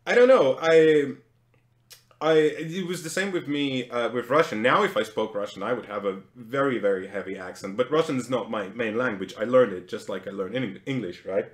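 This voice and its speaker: A man's voice: medium pitch, normal speed, echoey room. A man from Lithuania, a native Lithuanian speaker, co-hosting a casual livestream chat and telling rambling, self-deprecating stories. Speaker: co-host.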